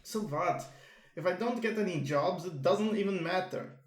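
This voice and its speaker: A man voicing a self-doubting, excitable young male manga artist. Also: sits high, moderate pace, pitched above the performer's own voice. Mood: modest. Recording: echoey room.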